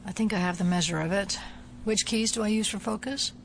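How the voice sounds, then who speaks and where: An actress playing a cool, methodical female FBI agent and scientist in an audio drama, measured and drily reproving. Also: mid-range voice, a little hiss or hum, lightly acted. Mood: focused.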